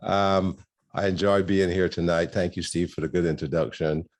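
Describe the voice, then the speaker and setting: An older man from Barbados, a guest speaker telling his life story in a rambling, storytelling way with many pauses. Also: low voice, steady tone. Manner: warm storytelling with frequent ums and laughs. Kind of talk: personal testimony talk.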